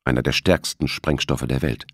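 A man's voice: extremely low pitch, steady tone, normal speed, dry recording, studio quality. A man narrating a suspense novel as an audiobook, reading from a script. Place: close, dry studio recording.

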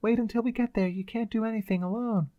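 A man's voice: high-pitched, fairly even intonation, quick pace, no echo, phone-quality sound, voice pushed up, lightly acted. A man voicing a worried, cautious lieutenant who protests faintly in a pulp science fiction audiobook. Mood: anxious protest.

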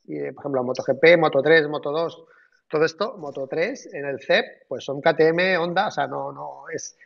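Gravelly, insistent debating man. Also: on the high side, moderate pace. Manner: insistent, argumentative. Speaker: panelist. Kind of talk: live debate on a video call. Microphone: video call. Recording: dull video call audio.

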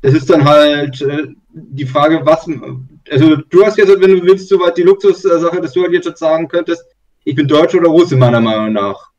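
Higher-voiced man on muffled audio. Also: steady tone, moderate pace, darkish tone. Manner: probing, lots of filler words. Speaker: discussion participant. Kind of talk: casual group chat. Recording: distant, muffled call audio.

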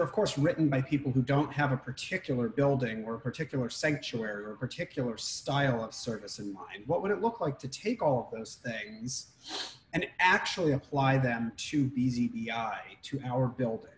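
A middle-aged rabbi speaks to his congregation over a video call, measured and deliberate, with plenty of ums and uhs. His voice sits at an ordinary man's pitch. A faint hiss runs under the call audio. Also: poor audio. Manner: measured, deliberate, with frequent fillers. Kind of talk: town hall remarks to a congregation.

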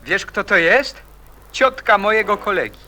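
A man's voice: high-pitched, expressive, moderate pace, pushed and bright, full character voice. An actor playing a nervous, pleading teenage boy in a Polish radio play, agitated and insistent.

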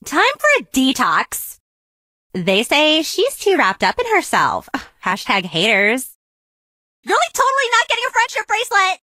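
A woman's voice: high-pitched, huge pitch swings, unhurried, pushed and bright, no room sound, studio quality, full character voice. A woman voicing a vain, snarky zombie teenage influencer for a video game, with a sassy, exaggerated valley-girl delivery. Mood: scornful, then indignant, sassy. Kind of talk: video game character voice lines.

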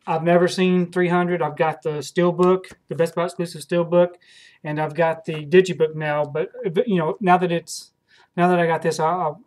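A man's voice: fairly high pitch, a little mellow, slight background noise. A man in his thirties casually showing off used film purchases at home, hesitant, with many ums and restarts, into a desk webcam. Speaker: video host, collector. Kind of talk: casual haul video. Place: home room with shelves of films.

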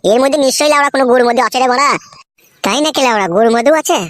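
A man's voice: very high voice, racing, a bit bright, dry recording. A man voicing a brash, foul-mouthed young cartoon villager with a rural West Bengal accent, grumbling in crude comic banter. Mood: scheming banter.

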